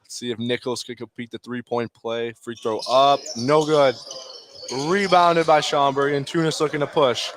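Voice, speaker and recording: A man's voice: mid-range voice, fairly even intonation, normal speed. Man calling basketball play-by-play over gym noise. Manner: clipped, terse running call in short phrases. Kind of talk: live sports radio commentary. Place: indoor high school basketball game. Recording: clear background noise of a gym.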